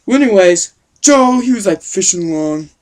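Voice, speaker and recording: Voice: medium pitch, lively intonation, moderate pace, lightly acted. Rambling, folksy grandpa storyteller, played by an amateur. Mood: jovial, rambling. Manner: folksy, rambling, youthful slangy phrasing. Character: totally wicked awesome old grandpa telling a tale.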